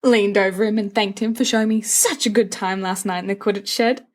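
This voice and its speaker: A woman's voice: mid-range voice, steady tone, brisk, close to an everyday voice. A woman voicing a mischievous, dramatic teenage wizard schoolboy with a teasing, theatrical delivery in an audiobook-style story. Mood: gleeful. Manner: theatrical, teasing, flowery speech.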